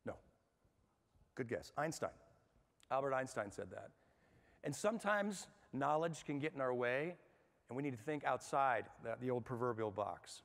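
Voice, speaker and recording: A man with a middle-pitched voice leads a management workshop in front of a live audience. His talk is energetic and conversational, and he keeps putting questions to the room. His voice rings out with the long reverb of a large hall. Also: normal speed, vocal fry, long pauses.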